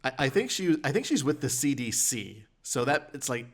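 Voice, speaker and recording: Mid-pitched man, wry podcast co-host. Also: big pitch swings, moderate pace. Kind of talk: casual chat, anecdotes.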